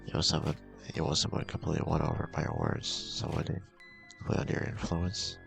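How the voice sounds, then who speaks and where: A man's voice: rumbling low, flat delivery, fairly quick, gravelly, fairly bright tone, faint music behind, exaggerated voice. A man voicing an intense, controlling young woman from a horror visual novel. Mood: cold, taunting.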